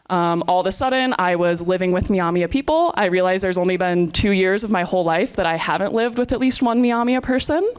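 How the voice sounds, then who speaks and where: A woman's voice: mid-range voice, clean tone. A woman in her twenties with an American accent, moderating a panel and introducing it to an audience. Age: 20s. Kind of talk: prepared introduction to a live panel.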